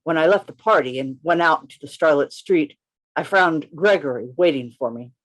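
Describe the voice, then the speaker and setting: A man's voice: a little high, brisk, a bit bright, close to an everyday voice. A man reading the part of a witty, chivalrous poet who narrates his own adventure in a radio play, in formal, theatrical language over a video call. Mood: wistful narration.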